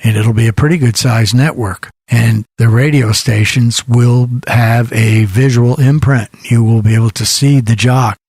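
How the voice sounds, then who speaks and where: A man's voice: mid-range voice, fairly even intonation, a little slow, few pauses, darkish tone, breathy edge, no room sound, clean studio sound. An older American man hosting an internet radio show, talking in a rambling, reflective, conversational way between songs. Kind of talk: casual monologue between songs.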